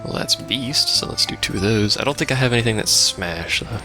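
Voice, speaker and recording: A man's voice: lowish voice, expressive, brisk. A man giving casual, rambling gameplay commentary with dryly sarcastic asides, as a let's play host. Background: game music and sounds.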